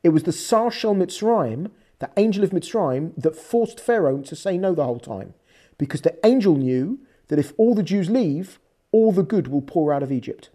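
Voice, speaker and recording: A woman with an English accent giving a lively, conversational religious lecture, acting out quoted lines as she explains. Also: fairly low pitch, normal speed. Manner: animated, conversational teaching with quoted dialogue. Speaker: Torah teacher.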